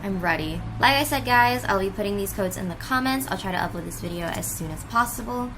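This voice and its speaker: A woman's voice: mid-range voice, animated, quick pace, poor audio. A woman giving casual, chatty commentary as the creator of a reaction video. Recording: music behind the voice. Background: clear music.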